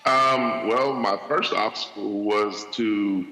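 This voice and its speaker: A man answering questions on a live panel, speaking in a halting, reflective way with pauses between phrases. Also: lowish voice, steady tone, bright, forceful tone. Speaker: entrepreneur panelist, chocolatier. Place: large event hall on stage. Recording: echoey hall with long reverb.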